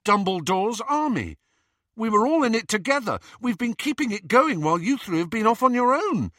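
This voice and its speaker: Man acting an indignant, high-pitched teenage boy rebel. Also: big pitch swings, normal speed, bright, forceful tone, no room sound, clean studio sound, full character voice. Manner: insistent, emphatic.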